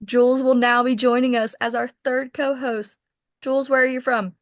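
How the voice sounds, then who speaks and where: A woman's voice: high-pitched, little pitch movement, moderate pace. A woman hosting a podcast in casual conversation. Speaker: podcast host. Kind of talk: casual chat.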